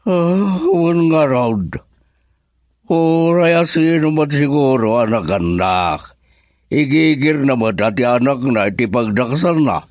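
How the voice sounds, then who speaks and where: An old husband in a radio play, played by a man, speaking slowly and higher than his natural register. The sound is dry and close. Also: animated, a little mellow, dry recording. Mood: reflective. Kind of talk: radio drama acting.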